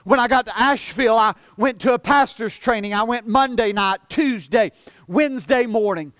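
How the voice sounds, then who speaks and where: A man of forty preaching a sermon as pastor, earnest, often repeating and stumbling over words, dropping soft for emphasis. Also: very high-pitched, moderate pace, no echo, studio quality. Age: 40s.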